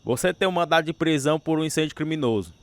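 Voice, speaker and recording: A man's voice: on the high side, lively intonation, fast, no echo. A man acting as a stern, threatening policeman making an arrest in a radio drama. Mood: stern, commanding.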